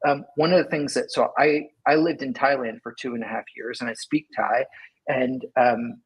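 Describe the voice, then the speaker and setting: A man's voice: mid-range voice, fairly even intonation, normal speed, slightly rough, poor audio. A middle-aged man from California, a guest in an interview, talking loosely and thinking aloud with many "like" and "right?" asides. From Sacramento, California. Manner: rambling, lots of "like" and "right?". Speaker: engineering leader, guest. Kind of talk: casual interview talk.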